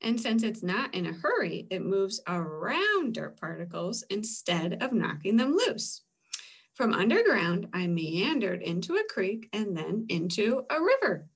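A woman voicing a breezy, relaxed female raindrop, chirpily explaining her travels in a comic strip read-aloud. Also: mid-range voice, unhurried, slightly rough, exaggerated voice.

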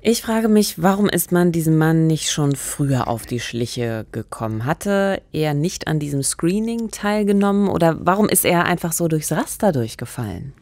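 A woman's voice: low-pitched, expressive, normal speed, few pauses, darkish tone, no echo. Engaged woman host in a studio. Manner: engaged, asks questions, reacts with surprise. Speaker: podcast host. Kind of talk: scripted podcast hosting. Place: small radio studio. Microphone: studio condenser mic, headphones.

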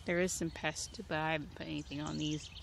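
A woman's voice: low-pitched, flat delivery, quick pace, creaky, background noise. A woman in her thirties giving a casual, rambling tour of her backyard garden, filled with ums as she thinks aloud, recorded outdoors on a handheld phone. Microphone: handheld phone camera. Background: birds and outdoor nature sounds.

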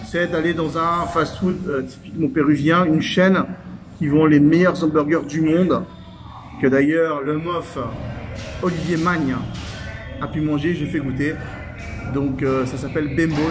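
A man's voice: fairly high pitch, low-quality recording. A man in his thirties vlogging casually to his phone camera at arm's length in a small restaurant, chatty and full of fillers. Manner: chatty, rambling, many fillers. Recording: phone video with crowd chatter.

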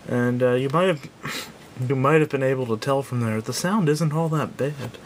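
A man giving a casual, unscripted product review in a halting, self-doubting way, recorded indoors at a tabletop on a camera microphone. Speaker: gadget reviewer. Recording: clear background noise with low rumble.

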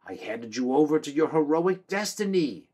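A feeble, roupy old man with a high, thin voice that wheedles and whines. He wheezes and pleads, sly and self-pitying, a storybook villain grasping for favor. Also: lively intonation, normal speed, mellow. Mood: wheedling, self-pitying.

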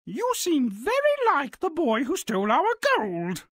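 A woman's voice: sits high, big pitch swings, measured pace, slightly rough, dry recording, clean studio sound, exaggerated voice. A woman voicing a motherly, wary giant's wife in a fairy-tale video game, fussy and coaxing. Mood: fussing, soothing. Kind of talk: video game character acting.

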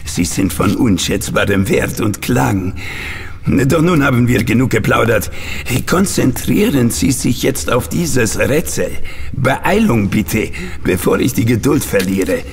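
A man's voice: mid-range voice, lively intonation, a little slow. A threatening, impatient villain in an audio drama. His delivery swings widely and scornfully, with faint noise around the reverberant voice. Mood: menacing impatience. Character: impatient, menacing male villain holding hostages at gunpoint. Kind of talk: German audio drama acting.

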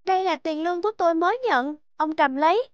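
A woman voicing a kind, earnest young sister in an animated morality tale. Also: extremely high pitch, quick pace, dry recording, dull audio. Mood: gentle, caring.

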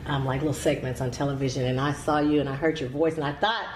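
A woman's voice: a bass voice, steady tone, quick pace, reverberant, a little hiss or hum. A middle-aged woman hosting a faith talk show in a studio, chatty and bubbly, with many affirming uh-huhs. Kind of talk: enthusiastic interview chat. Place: TV studio set, seated.

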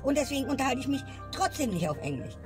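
A woman's voice: high-pitched, very animated, fast talker. A woman ranting in a comedy sketch and ending her lines with a questioning tag. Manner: grumbling, tags lines with "ne?". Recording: music bed behind voice. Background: music.

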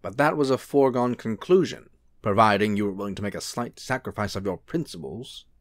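A man as a suave, low-voiced angel. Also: fairly quick, dry recording, clean studio sound, close to an everyday voice. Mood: gently chiding. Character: suave, unruffled, charming male angel. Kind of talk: radio-play style acting.

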